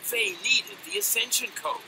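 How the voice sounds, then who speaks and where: A man's voice: extremely high pitch, wildly swooping pitch, moderate pace, creaky, noisy background, exaggerated voice. A man voicing a boastful young adventurer in a cartoon game, telling his tale with theatrical self-importance. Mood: grandly dramatic. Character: brash, boastful young male adventurer narrating his own heroic tale.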